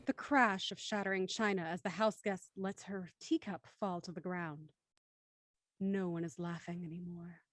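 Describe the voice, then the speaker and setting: A woman narrating a radio drama in a measured, suspenseful way. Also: on the low side, steady tone, no echo, studio quality.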